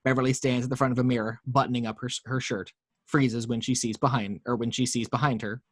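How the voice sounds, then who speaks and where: A man's voice: mid-range voice, fairly even intonation, fast talker, no room sound. A man narrating the action lines of a screenplay at a home table read, reading steadily with small stumbles on a close microphone.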